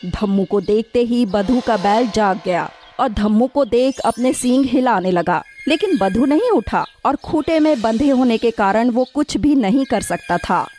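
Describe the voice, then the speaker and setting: High-pitched woman narrating a children's story. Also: lively intonation, unhurried, hardly any pauses, a little mellow. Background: background music.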